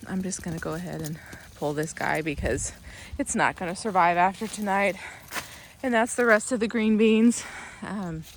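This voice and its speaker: Woman, raspy sore throat, rambling garden vlog, phone mic outdoors, faint background noise. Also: medium pitch. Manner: chatty, rambling with many ums. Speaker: vlogger, gardening channel host. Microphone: phone held in hand.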